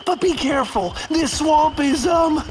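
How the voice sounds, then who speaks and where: A man's voice: extremely high pitch, unhurried, background noise. A man voicing a cowardly, hungry, goofy young slacker in a cartoon video game, with a whiny, hesitant drawl. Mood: nervous. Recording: music behind the voice.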